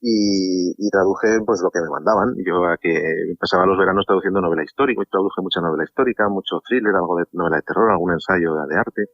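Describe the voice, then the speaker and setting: A man's voice: sits low, fast talker, hardly any pauses, no room sound, dull audio. A middle-aged man from Spain, a harpsichordist and translator, talking at length as a radio guest with many restarts. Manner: talkative, warm, many restarts and laughs. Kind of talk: relaxed radio interview.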